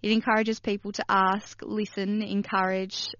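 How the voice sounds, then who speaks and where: Hoarse woman hosting radio. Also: medium pitch, little pitch movement, moderate pace, fairly bright tone, no room sound, muffled recording. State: hoarse, losing her voice. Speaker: radio health program host. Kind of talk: radio interview.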